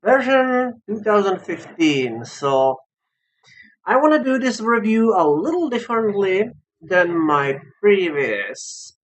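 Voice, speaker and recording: Low-pitched non-native speaker, foreign accent, slow and kinda halting, casually reviewing a game off the cuff. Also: sing-song, rough recording. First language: not English. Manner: hesitant, searching for words. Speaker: visual novel reviewer. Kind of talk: casual unscripted review over game footage.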